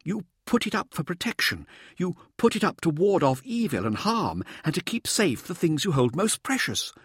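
An eager showman of a scientist , acted out by a male audiobook reader, pitched far up from a normal male register. He lectures and presses his listeners theatrically, with big swings in pitch and clean, dry sound. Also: big pitch swings, moderate pace, husky, no echo, full character voice. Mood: showy, teasing. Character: eager, showboating male expedition scientist, excitable and insistent. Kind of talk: audiobook character dialogue.

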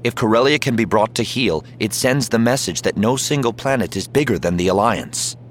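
A man voicing a calm, determined Jedi commander in an audiobook, speaking with controlled, persuasive assurance. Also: medium pitch, a little fast, a little hiss or hum, voice pushed up, natural voice. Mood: insistent.

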